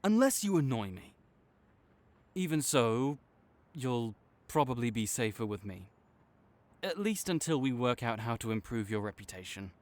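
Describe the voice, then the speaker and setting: A man playing a tsundere supervillain in an audio roleplay, halting and exasperated, teasing and reluctantly caring toward a rival. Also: medium pitch, big pitch swings, close to an everyday voice. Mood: reluctant, gruff. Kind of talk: intimate audio roleplay addressed to the listener.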